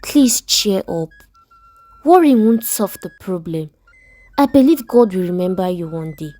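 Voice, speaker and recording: A woman's voice: medium pitch, lively intonation, unhurried, warm tone, no room sound, soft background music, lightly acted. A woman voicing a cheeky, coaxing best friend in a story, with a Nigerian accent and a placating manner. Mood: consoling.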